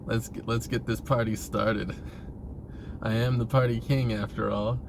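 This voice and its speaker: Clear ambient noise, like a vehicle, sits behind a man talking at an ordinary middle pitch. He rambles casually about himself in a confessional vlog style. His wording is informal and freely profane. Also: flat delivery, unhurried. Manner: informal, profane, frequently chuckling.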